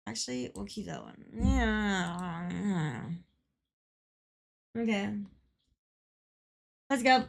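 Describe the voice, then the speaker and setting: A young adult woman streaming a game, chatting casually with a slightly hoarse voice, laughing often and rambling with lots of fillers. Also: medium pitch, lively intonation, extremely slow, pauses often. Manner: giggly, rambling, filler-heavy. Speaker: livestreamer. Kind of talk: casual chat while gaming.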